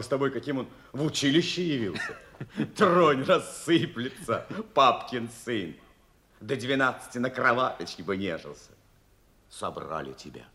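Animated, steadfast naval officer. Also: male voice, mid-range voice. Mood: mocking, emphatic. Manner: teasing, rhetorical, emphatic. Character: teasing, staunchly loyal young naval officer, steadfast comrade. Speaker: radio drama actor. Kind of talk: Russian radio play acting.

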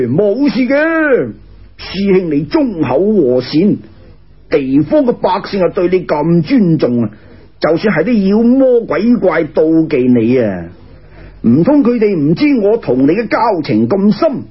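A man's voice: sits high, very animated, a little slow, husky, heavily acted. A man with a Cantonese accent voicing a proud, protective old male dragon in a storytelling tale, boastful and emphatic. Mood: reassuring. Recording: muffled lo-fi radio recording.

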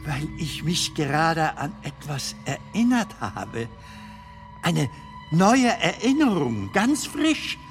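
Soft-hearted childlike old comedian, slow halting talk, very lively pitch, radio play with music under it. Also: male voice, full character voice. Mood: wondering, tender. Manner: halting, tender, questioning. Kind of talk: German radio drama acting.

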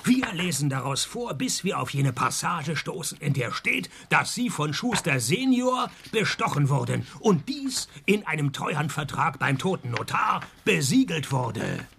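A man playing a laconic, deadpan private detective who also narrates a radio play, in a husky voice. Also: fairly high pitch, unhurried, hardly any pauses, slight background noise, exaggerated voice. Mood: firm, pressing. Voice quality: husky, gravelly. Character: male private detective, dry, unimpressed, probing, also the narrator. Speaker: lead actor and narrator. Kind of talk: scripted radio detective comedy.

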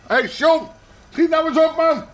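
An actor playing a stubborn, hot-tempered middle-aged Amsterdam underworld boss in a radio drama, blunt and slangy. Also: male voice, very high-pitched, fairly even intonation, moderate pace, a little hiss or hum. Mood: gruff, dismissive. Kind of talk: naturalistic Dutch radio drama acting.

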